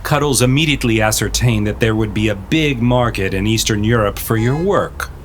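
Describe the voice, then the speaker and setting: An actor playing a gruff, bossy gangster boss in a comic audio drama, with tough-guy slang and threats. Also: on the low side, a little fast, slight background noise, natural voice. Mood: boastful.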